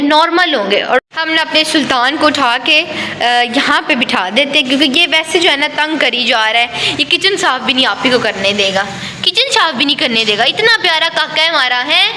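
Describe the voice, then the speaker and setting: A woman's voice: sits high, bright, forceful tone, a little hiss or hum. A woman narrating a casual home vlog in a chatty, affectionate way, often talking to her cats. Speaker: vlogger.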